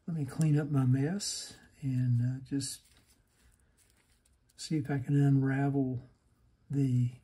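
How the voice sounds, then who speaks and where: A man narrating a hands-on repair demonstration, haltingly thinking aloud as he works at a bench indoors. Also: medium pitch, warm tone, husky. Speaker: host of a radio repair channel.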